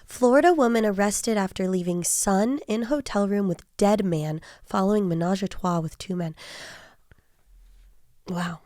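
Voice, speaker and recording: A woman guests on a podcast, talking casually at an average pitch for a woman. She comes across as incredulous and reactive, and the recording is very dry. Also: unhurried, darkish tone, no echo. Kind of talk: casual chat.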